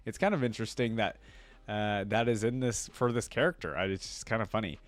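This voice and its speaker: A man's voice: lowish voice, fairly quick, no echo. A man hosting a reaction video, who talks casually and enthusiastically, rambling with lots of fillers.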